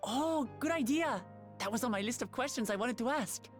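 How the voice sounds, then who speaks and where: An actress playing an earnest, modest young adventurer in a fantasy game, talking in an eager, plain-spoken way. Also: female voice, fairly high pitch, lively intonation, normal speed, soft background music, close to an everyday voice. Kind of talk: scripted fantasy game dialogue.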